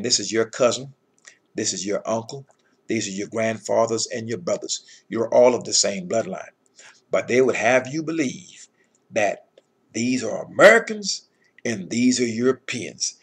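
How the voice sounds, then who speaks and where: A middle-aged man with a husky voice giving an informal scripture lesson in an emphatic, admonishing manner. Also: lowish voice. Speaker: Bible teacher.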